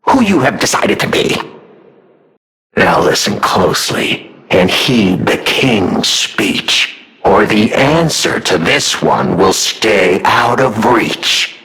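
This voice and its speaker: A sadistic puppet king of riddles from a video game. The voice is gravelly and sharp, lurching through huge swoops of pitch. The delivery is mocking and gleeful, relishing cruelty with grand theatrical flourish. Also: sing-song, slow, heavily acted, low-quality recording. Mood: menacing, theatrical.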